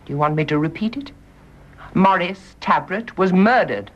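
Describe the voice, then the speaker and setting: Intense British nurse, widely swinging pitch. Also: female voice, lively intonation, normal speed. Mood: grave, defiant. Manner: clipped, formal, emphatic. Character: grave, stubborn, wounded nurse making an accusation. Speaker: actress in a radio drama. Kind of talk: radio drama acting. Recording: dull, muffled radio drama recording.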